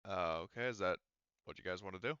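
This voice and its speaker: Clear, low-voiced man thinking aloud. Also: quick pace, pushed and bright, no echo, highs cut off. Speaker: game master. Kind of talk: running a tabletop role-playing game, casual explaining.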